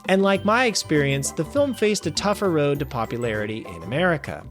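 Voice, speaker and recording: A man hosting a film review show, reading a scripted review with wry, animated asides. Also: a little high, fairly quick, soft background music. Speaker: film review podcast host.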